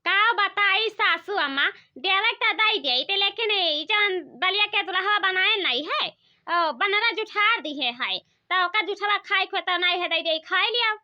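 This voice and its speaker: A woman playing a sharp-tongued, put-upon village daughter-in-law in a comic cartoon, nagging in rustic talk with an Awadhi accent. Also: extremely high pitch, expressive, measured pace, full character voice. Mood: complaining. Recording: dull lo-fi sound.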